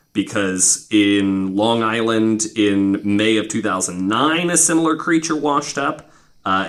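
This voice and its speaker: A man's voice: lowish voice, fairly bright tone. A man hosting a podcast, telling stories casually to co-hosts in an informal, wryly skeptical way with some swearing. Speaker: podcast host.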